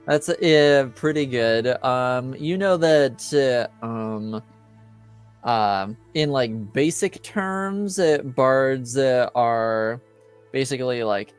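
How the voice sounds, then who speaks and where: Male game master, slow and hesitant, clean resonant tone, music underneath. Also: mid-range voice, clear, resonant tone. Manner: hesitant, halting delivery. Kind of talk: explaining rules and lore in a tabletop roleplaying game. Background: music behind the voice.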